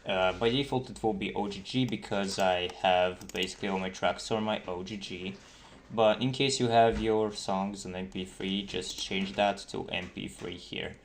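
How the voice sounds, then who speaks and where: A man giving a casual, halting tutorial as a game developer, explaining things informally. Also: low-pitched, fairly even intonation. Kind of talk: casual screen-recorded tutorial. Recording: noisy desktop mic with key clicks. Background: keyboard typing and mouse clicks.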